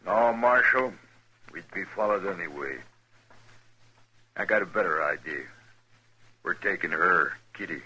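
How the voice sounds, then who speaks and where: A man's voice: deep voice, a little slow, pauses often, sharp tone, breathy edge, heavily acted, rough recording. An actor playing a cold-blooded, taunting bank robber in a 1950s western radio drama, drawling his threats. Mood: cold menace.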